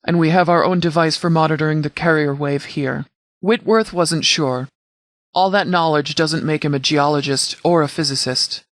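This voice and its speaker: A man's voice: a little high, fairly even intonation, fairly bright tone, no echo, natural voice. Man playing a formal sheriff, dry close sound. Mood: serious, measured. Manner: formal, measured, authoritative. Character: stern, formal sheriff and military commander. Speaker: sheriff and commander. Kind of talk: scripted game dialogue, mission briefing.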